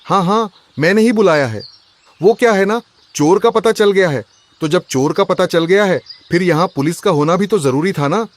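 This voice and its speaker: A man's voice: sits high, normal speed, close to an everyday voice. Man acting an aggrieved, suspicious cartoon husband. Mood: uneasy. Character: suspicious, aggrieved husband robbed of his chest.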